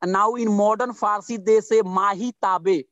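Indian man, 40s, emphatic guest on a video call, higher pitch, dry close sound. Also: steady tone, normal speed, fairly bright tone, dry recording, muffled recording. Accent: Indian English. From India (Bihar). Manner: earnest, repetitive, emphatic with restarts. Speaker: interview guest, writer. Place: outdoors under trees.

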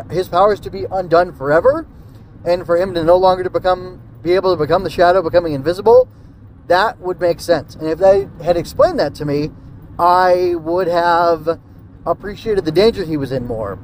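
A man's voice: high voice, a little mellow, a little hiss or hum. A man giving a casual solo podcast review, rambling and hesitant as he thinks aloud. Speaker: podcast host reviewing an old radio drama.

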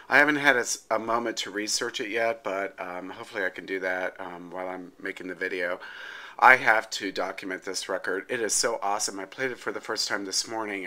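Male hobby vlogger, low-pitched and forceful, informal and enthusiastic delivery. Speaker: vlogger, record collector. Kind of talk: casual show-and-tell chat.

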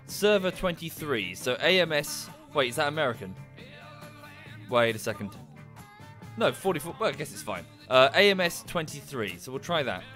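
A man livestreaming from home, chatting casually and thanking viewers, a little nasal and bunged up from a cold. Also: medium pitch. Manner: rambling, thanks viewers in passing. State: coming down with a cold.

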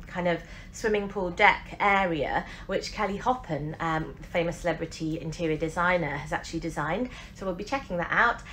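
A woman's voice: fairly low pitch, slight background noise, rough recording. A woman in her forties chatting casually to camera as a travel vlogger, gushing with enthusiasm. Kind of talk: casual vlog chat to camera. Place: cruise ship decks, venues and cabin. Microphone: phone at arm's length. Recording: loud music and busy crowd behind.

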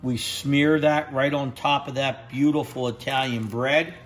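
A man's voice: medium pitch, fairly even intonation, measured pace. Gushing middle-aged man cooking at home. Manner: enthusiastic, repeats catchphrases, sniffs and tastes food while talking. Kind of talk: casual cooking vlog. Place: home kitchen indoors, then outdoor deck at a grill. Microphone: handheld phone camera.

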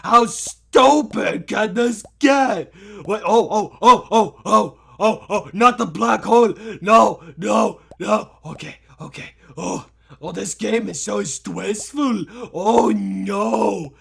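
A man voicing an excitable, dim-witted clone character with childish broken grammar while commentating on a video game. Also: high-pitched, slow, creaky, slightly rough, full character voice. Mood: panicked. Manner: broken third-person grammar, mild swearing, repetitive exclamations. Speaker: gaming video host.

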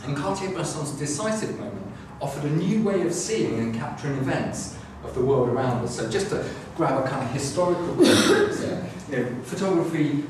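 A middle-aged man giving an academic lecture, talking in a halting way with frequent restarts and fillers. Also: on the high side, expressive, background noise. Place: classroom with a screen, indoors. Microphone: far camera. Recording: echoey room, far from the camera mic.

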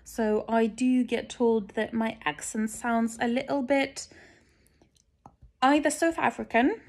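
A young woman with a Lithuanian accent talks in a loose, rambling way, full of ums, as she stitches. Her voice sits a little high with a gravelly fry at the ends of her phrases. She is recorded on a phone indoors at home. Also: darkish tone. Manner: rambling, frequent ums, chatty and candid. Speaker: stitching vlogger. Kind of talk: casual chat while stitching. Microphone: phone camera looking down at the stitching, speaker off frame.

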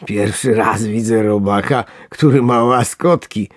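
A storyteller voicing a bewildered, flustered male angler from a storybook, muttering to himself and trying to stay calm. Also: deep voice, unhurried, slightly rough, no room sound, clean studio sound, heavily acted. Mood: confused. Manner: muttering, self-soothing.

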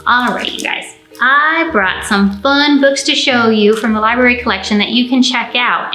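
A young American woman, a children's librarian, presenting storytime to small children in an animated, direct way, recorded indoors. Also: a little high. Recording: roomy, echoing indoor sound.

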